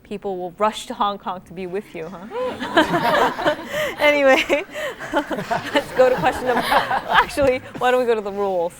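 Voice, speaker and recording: A woman's voice: fairly high pitch, sing-song, unhurried, very noisy. A woman hosting a TV quiz show, chatty and upbeat, laughing easily with guests. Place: TV studio.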